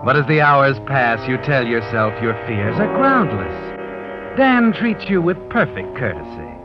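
Ominous radio mystery narrator, American, insinuating, dramatic rise and fall, music behind, dull lo-fi recording. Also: male voice, mid-range voice. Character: knowing, sardonic male mystery narrator needling the heroine. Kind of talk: dramatic second-person scripted narration, 1940s radio.

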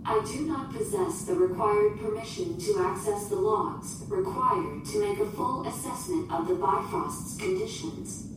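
A woman's voice: sing-song, measured pace, hardly any pauses. A woman playing a polite, unemotional shipboard AI in a sci-fi audio drama, speaking in formal, precise, procedural phrases. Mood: polite, detached. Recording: distant, echoing, noisy with rumble.

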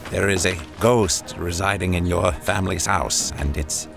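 Deep, formal 19th-century newsman. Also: vocal fry, over music. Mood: earnest. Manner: formal, polite, measured. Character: earnest, measured 19th-century male journalist and lawyer. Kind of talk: audio drama acting.

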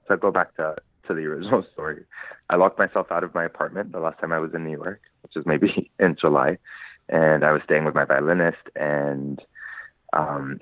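A man's voice: extremely low pitch, dry recording. A man from Lebanon, a musician being interviewed, talking thoughtfully and laughing as he tells stories. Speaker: musician guest. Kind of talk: conversational interview. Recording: dry tinny phone-like line.

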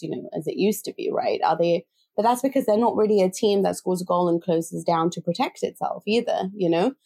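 A woman's voice: on the low side, mellow, husky. A woman co-hosting a football chat, talking casually and often adding "you know". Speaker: football podcast co-host.

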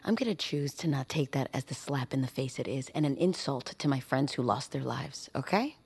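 A woman acting a weary, defiant survivor under interrogation in a naturalistic audio drama, firm and measured. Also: extremely low pitch, darkish tone, husky, full character voice. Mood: defensive, earnest.

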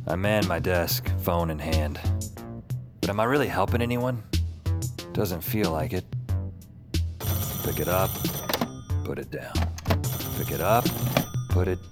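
A man's voice: low-pitched, lively intonation, measured pace, pauses often, over loud music. An actor playing a weary, wry male emergency call-taker who narrates his troubles in a casual way in an audio drama. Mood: brooding. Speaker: lead character and narrator. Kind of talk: noir-like first-person audio drama narration and dialogue.

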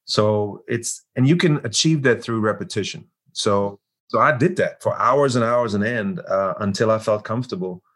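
A man's voice: on the low side, a bit bright. A man in his forties from Germany, speaking with a German accent as an actor being interviewed, often repeating words as he restarts. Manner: halting, many restarts. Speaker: actor guest. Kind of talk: casual interview.

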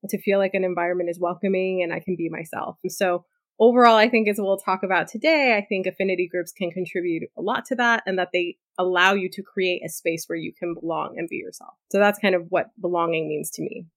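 A woman's voice: lowish voice, fast talker, dry recording. A woman in her thirties from New City, speaking, candidly telling her life story as an interview guest. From New York City. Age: 30s. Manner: candid, lively storytelling with frequent likes and asides. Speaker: guest, corporate lawyer. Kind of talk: conversational interview answers.

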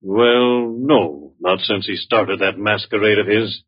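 Haughty well-off man, lowish husky voice, sardonic, clipped, muffled old radio drama. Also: slow pace. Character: suspicious, dry, supercilious male relative of a rich man. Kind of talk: 1940s-50s American radio drama acting.

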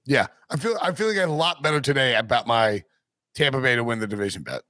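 A man with a raspy, worn voice talks through football scores and point spreads as a podcast co-host. The sound is dry and close, with no room around it. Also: medium pitch, expressive, quick pace, sharp tone, no room sound. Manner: rattles off scores and betting lines. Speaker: podcast co-host, sports betting analyst. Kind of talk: casual sports podcast chat.

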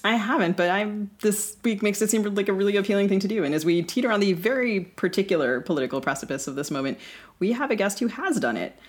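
A woman's voice: mid-range voice, expressive, quick pace. A woman co-hosting a podcast, reading a scripted introduction in an emphatic, rhetorical way. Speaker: podcast co-host.

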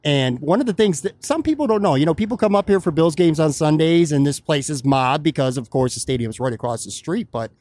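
A man's voice: a little high, animated, a little fast, hardly any pauses, darkish tone, no room sound. A man hosting a podcast interview who asks long, rambling questions in a friendly, casual way. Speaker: podcast host. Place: bar or restaurant.

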